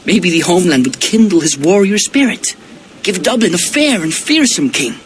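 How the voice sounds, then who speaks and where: An actor playing a cocky, hot-headed young Viking prince with a brash manner in a video game. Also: male voice, high voice, expressive, normal speed, slight background noise. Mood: earnest. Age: young adult. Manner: taunting, brash. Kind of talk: dramatic video game cutscene acting.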